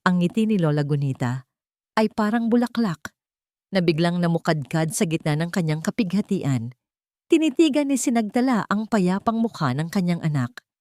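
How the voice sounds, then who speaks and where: A woman narrates a scripted love story in a dramatic storytelling style. Her voice sits low for a woman, and the recording is dry, with very little room sound. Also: darkish tone, dry recording.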